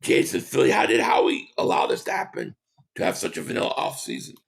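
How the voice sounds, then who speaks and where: A man hosting a sports talk livestream, hoarse and losing his voice, reading chat comments and giving rambling, opinionated takes. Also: lowish voice, expressive, fast. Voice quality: hoarse, gravelly. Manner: rambling, opinionated, repeats himself.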